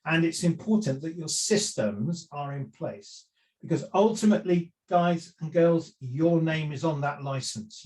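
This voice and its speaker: A man's voice: on the high side, darkish tone. A middle-aged man giving a seminar talk on property investment, conversational, with frequent fillers and restarts. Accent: none Welsh. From lived in South Wales for years.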